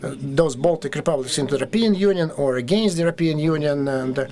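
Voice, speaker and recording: A Russian man with a Russian accent, speaking as a panelist at a conference discussion, halting and full of fillers and restarts. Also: fairly high pitch, darkish tone.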